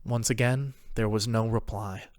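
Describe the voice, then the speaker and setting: A man's voice: lowish voice, fairly even intonation, moderate pace, no room sound, studio quality. A man reading scripted story narration as the narrator of a fan fiction tale.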